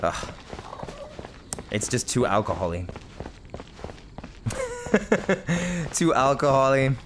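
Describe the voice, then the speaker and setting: A man from Britain talking over his gameplay in a mid-pitched, easygoing voice. He rambles off on tangents, laughs at himself, and chats casually to his viewers. There is a faint hiss under the recording. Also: sing-song, very slow. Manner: rambling, chatty, often laughs, self-mocking. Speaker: gaming video host. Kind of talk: casual let's-play commentary.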